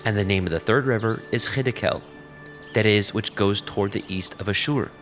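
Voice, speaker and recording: A man narrating scripture in a steady, reverent reading. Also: fairly low pitch, a little fast. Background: background music.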